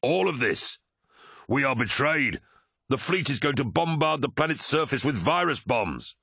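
A man voicing a desperate warrior pilot in an audiobook, shouting urgently as he pleads under fire. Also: low voice, fairly even intonation, fast, slightly rough, no echo, studio quality, heavily acted. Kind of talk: dramatic audiobook character reading.

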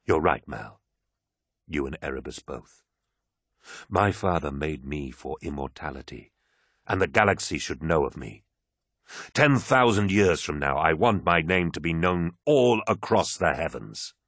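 A man voicing a commanding, brooding, proud warlord in an audiobook, with a grave, imperious, husky delivery. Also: a bass voice, lively intonation, unhurried, long pauses, a bit bright, no echo, studio quality, full character voice. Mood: proud conviction.

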